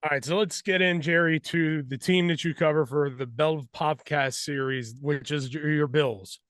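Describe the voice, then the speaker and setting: A man hosts a sports talk show and leads the interview. His voice is on the higher side for a man, and it sounds dry, with hardly any room around it. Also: fairly even intonation, moderate pace, a bit bright, no echo. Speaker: show host.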